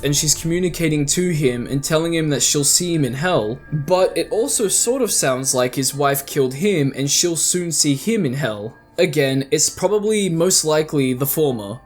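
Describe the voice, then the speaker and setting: A man with a slightly high voice narrates a scripted video essay. He talks like a casual online host, dropping slangy asides into his commentary. Music plays faintly behind him.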